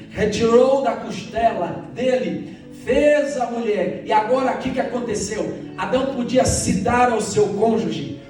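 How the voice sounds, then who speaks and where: High-pitched Brazilian male preacher, very echoey. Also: darkish tone. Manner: exhorting, repeats phrases, addresses congregation directly. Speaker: pastor. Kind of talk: church sermon. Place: church hall during a morning service. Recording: echoey church sound, distant, faint music.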